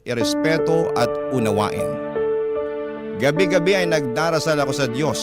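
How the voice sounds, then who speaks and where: A Filipino man narrates a heartfelt letter for a radio drama. His mid-pitched voice is measured and solemn, and prominent piano music plays loudly beneath it. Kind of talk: dramatic scripted first-person narration. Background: loud piano music.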